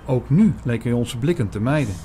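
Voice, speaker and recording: A man playing an earnest priest, narrating an audio drama in a clear storytelling manner. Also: medium pitch, animated, normal speed, dark tone, faint music behind, close to an everyday voice. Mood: earnest, watchful. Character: earnest male priest recounting his investigation.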